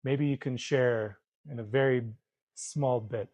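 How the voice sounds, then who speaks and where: A man interviewing a guest, asking brief, gentle prompting questions. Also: medium pitch, steady tone, unhurried, no echo.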